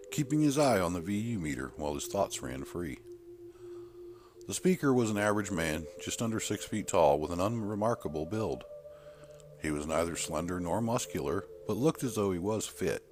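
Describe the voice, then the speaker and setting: A man's voice: low voice, a little hiss or hum. A man reading a scripted horror short story as its narrator.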